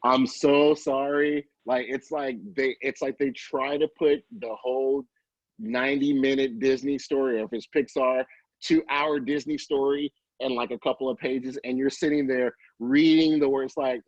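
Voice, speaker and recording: A middle-aged man giving casual interview answers as an early childhood educator, halting and often interrupting himself with informal asides. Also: medium pitch, fairly even intonation, dry recording, muffled recording.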